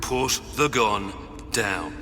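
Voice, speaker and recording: An actor playing a hard, suspicious gangster boss in a video game, mocking and threatening. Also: male voice, on the low side, extremely slow, sharp tone, full character voice. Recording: long hall reverb, music behind.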